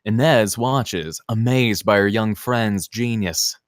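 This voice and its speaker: A man's voice: lowish voice, slow, no echo, clean studio sound. A man narrating the stage directions of a screenplay at a table read.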